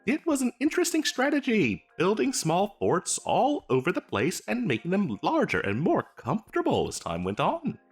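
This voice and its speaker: A man's voice: sits high, huge pitch swings, moderate pace, hardly any pauses, slightly rough, soft background music, heavily acted. A man playing an eager, pushy wizard salesman in a comedic fantasy roleplay, chatty and stammering through his sales patter. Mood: chatty, selling.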